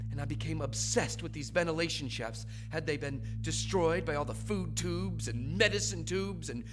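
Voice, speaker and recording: Rebellious young man, male actor, higher register, fervent and halting, stage audio drama monologue over music. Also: lightly acted. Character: young rebellious son, obsessed, confessing his escape. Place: stage before a studio audience.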